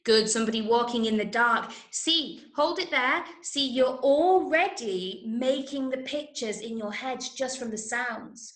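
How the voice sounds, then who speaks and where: A woman with an English accent teaching an interactive online drama workshop, giving clear instructions and encouraging pupils as she repeats their answers. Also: fairly high pitch, fairly bright tone. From Manchester, UK. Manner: clear step-by-step instructions to children. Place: room, online video lesson. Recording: echoey room sound.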